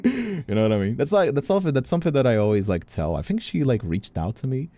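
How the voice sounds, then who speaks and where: A man's voice: medium pitch, big pitch swings, quick pace, mellow. A man streamer talking casually on a livestream, rambling and emphatic, repeating phrases as he goes, close on a studio microphone. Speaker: streamer and host. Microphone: close studio condenser microphone.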